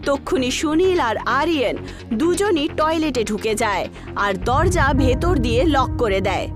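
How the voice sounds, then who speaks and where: Female narrator for animated horror tales, high voice, scripted storytelling over a music bed. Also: unhurried. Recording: background music under the voice.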